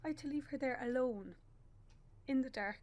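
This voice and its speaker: Radio play: actress as bereaved young Irish mother, voice on the high side, halting, stubborn, raw with grief, naturalistic. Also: a little mellow, natural voice. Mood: sorrowful reminiscing. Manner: halting, with pauses and repeated phrases.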